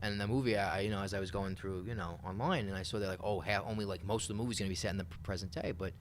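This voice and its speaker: A man's voice: sits low, fairly even intonation, very fast, a little hiss or hum. A man co-hosting a podcast, chatting casually and informally.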